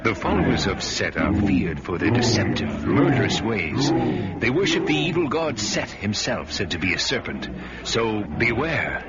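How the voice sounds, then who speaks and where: An actor playing a worldly, wary thief, companion to a barbarian hero, with dramatic, ominous, archaic phrasing in a fantasy radio drama. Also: male voice, fairly low pitch, sing-song, moderate pace, heavy background noise. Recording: dull radio recording with loud music.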